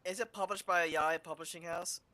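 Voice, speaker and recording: A woman's voice: fairly low pitch, steady tone, a little fast, a bit bright, no echo. A woman streaming a video game, reacting and commenting as she plays.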